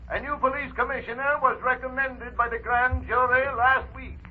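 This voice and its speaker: A woman's voice: sits high, flat delivery, normal speed, phone-quality sound, a little hiss or hum, lightly acted. A woman acting an earnest, formal radio broadcaster making a declamatory speech in a 1930s drama. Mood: solemn, resolute.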